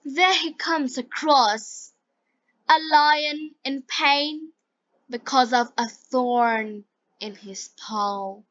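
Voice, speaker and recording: A woman with a Bengali accent reading like an English teacher for learners, in short, deliberate, carefully enunciated phrases. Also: high voice, fairly bright tone, lo-fi sound. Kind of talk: slow scripted story reading and vocabulary lesson for learners.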